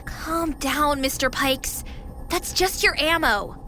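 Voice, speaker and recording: A woman's voice: high-pitched, takes it slowly, bright, forceful tone, slight background noise, full character voice. A woman playing a perky female AI companion in a video game, coaching and dryly teasing. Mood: brisk, instructive. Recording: music behind the voice.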